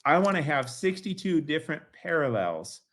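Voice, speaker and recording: A man's voice: on the high side, sing-song, normal speed, fairly bright tone. A man in his late fifties, a guest on an interview show, talking enthusiastically and at length with lots of "you know". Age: 50s. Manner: enthusiastic, rambling, many fillers like "you know". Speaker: guest collector. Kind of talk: casual interview chat.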